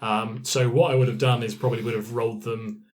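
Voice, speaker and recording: A man's voice: mid-range voice, flat delivery, a little fast, slight background noise. A man chatting casually as the game master in a group discussion, thinking aloud as he goes. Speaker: game master in a roleplay podcast.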